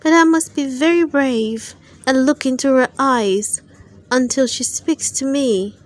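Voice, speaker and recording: A woman's voice: high voice, a little slow, dry recording. A woman voicing a brave, lovesick little toy soldier in a storybook, with a wistful, sighing manner. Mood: shy, resolute.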